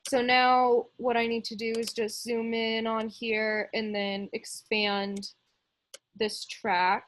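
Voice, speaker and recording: Higher-pitched woman giving a casual software tutorial. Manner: informal, thinks aloud while demonstrating. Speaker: music production tutorial instructor. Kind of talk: casual screen tutorial, explaining step by step.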